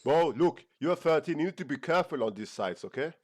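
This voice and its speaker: A man's voice: a little high, expressive, a little fast, darkish tone, dry recording, clean studio sound. A man chatting with strangers on a video chat app, joking around and calling everyone "bro". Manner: teasing, says "bro" constantly.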